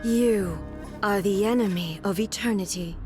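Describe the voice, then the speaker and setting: Stern female sovereign for a video game, played by a woman: cold, solemn, formal delivery, loud score underneath. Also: medium pitch, unhurried, lightly acted. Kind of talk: dramatic video game voice acting. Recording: loud game music behind.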